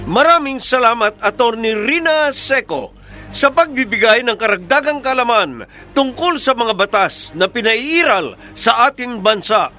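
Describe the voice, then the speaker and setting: A Filipino man announces a radio drama in a strikingly high, bright voice. His scripted delivery is very animated in pitch, and music plays beneath it. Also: measured pace. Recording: music under the voice.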